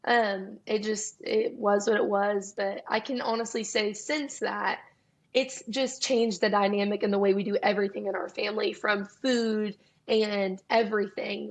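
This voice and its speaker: A young woman with a raspy voice, a singer-songwriter guest telling her story in a casual, chatty interview with many fillers. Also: mid-range voice, little pitch movement, normal speed. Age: young adult.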